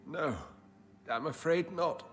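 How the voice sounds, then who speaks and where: An actor playing an anxious, defensive doctor in a video game, speaking in a formal, genteel, pleading way. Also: male voice, on the high side, slow, fairly bright tone, slight background noise. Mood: guarded. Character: anxious, defensive English doctor with secretive scientific zeal. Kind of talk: dramatic video game cutscene acting.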